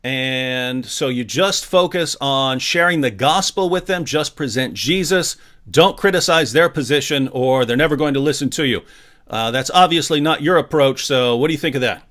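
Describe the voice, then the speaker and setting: A man hosting a live-streamed talk show, jokey and energetic as he introduces his guest and relays viewer comments. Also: mid-range voice, moderate pace. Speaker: host.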